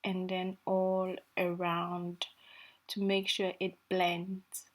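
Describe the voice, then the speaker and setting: A woman from Africa who lives in Norway, with an African English accent tinged by Norwegian, talking slowly and hesitantly through a casual makeup tutorial with many fillers. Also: on the low side, monotone. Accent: African English with Norwegian influence. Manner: slow, hesitant, self-deprecating, many fillers. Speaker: beauty vlogger. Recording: faint background guitar music.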